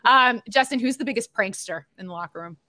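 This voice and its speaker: A woman's voice: a little high, fast, pushed and bright, no echo. A woman in her thirties co-hosting a podcast, chatting casually over a video call in a bubbly, giggly, rambling way. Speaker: podcast co-host. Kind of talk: casual chat interview.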